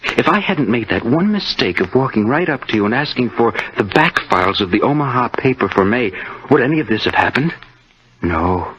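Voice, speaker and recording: An actor playing a touchy, boastful, deranged killer with a halting, brooding, menacing manner in old radio suspense. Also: male voice, mid-range voice, sing-song, fairly bright tone. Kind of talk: 1950s radio suspense acting. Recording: dull old radio recording.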